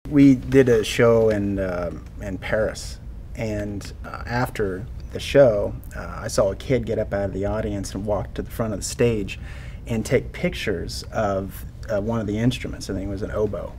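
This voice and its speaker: A man in his thirties giving measured, reflective answers in a panel interview. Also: fairly low pitch, warm tone, a little hiss or hum. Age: 30s. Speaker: interview panelist, concert producer. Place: indoor studio set.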